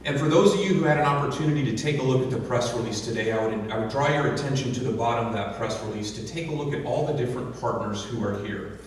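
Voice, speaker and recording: A man's voice: mid-range voice, fast, a little hiss or hum. A man giving an earnest thank-you speech at a ribbon-cutting ceremony, with many fillers and restarts. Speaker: county official giving a speech. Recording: distant echoey outdoor PA sound.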